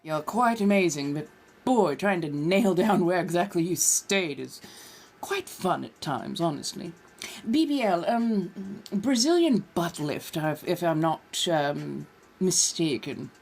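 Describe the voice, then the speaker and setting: A woman with a lowish, very animated voice hosts a casual livestream. She puts on a posh colonial American accent and speaks in affected, genteel phrases, addressing her listeners fondly as dears. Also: slow. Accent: put-on posh colonial American. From California, United States. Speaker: livestream host chatting with viewers.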